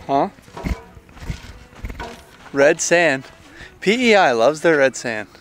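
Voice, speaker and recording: A man's voice: on the high side, very animated, takes it slowly, fairly bright tone, a little hiss or hum. A young man casually chatting to camera as a travel vlog host, laid-back and enthusiastic with informal slang.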